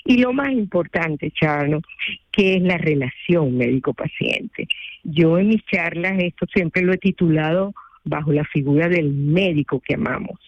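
A woman's voice: low voice, a little slow. A woman with a Venezuelan accent, a lawyer and consultant calling into a radio show, explaining things formally and at length. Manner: articulate and formal, explains at length with "este" fillers. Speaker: guest, lawyer and medical risk consultant. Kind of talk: phone-in radio interview. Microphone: telephone. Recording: muffled phone line.

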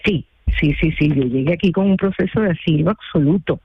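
A middle-aged woman with a Venezuelan accent talks in long, careful, formal sentences, explaining her work point by point. Her voice comes through a dull, low-fidelity line. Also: a little fast, slight background noise. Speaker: guest, lawyer and risk consultant. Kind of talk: interview over a phone line.

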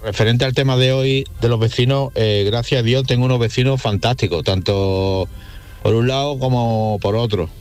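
Medium-pitched man sending a greeting. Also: moderate pace, a little mellow, clear, resonant tone. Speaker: radio show contributor.

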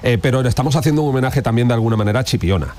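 A man's voice: medium pitch, racing, a little mellow. A man hosting a live radio broadcast from a beach, enthusiastic and often hesitating. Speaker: radio host. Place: outdoors on a beach.

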